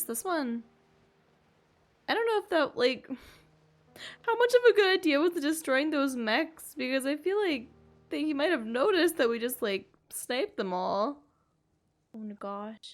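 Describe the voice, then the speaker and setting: High-voiced woman streaming a video game. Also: lively intonation, unhurried, soft background music. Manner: chatty, exclaiming, with playful sound effects. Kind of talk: casual live gameplay commentary to viewers.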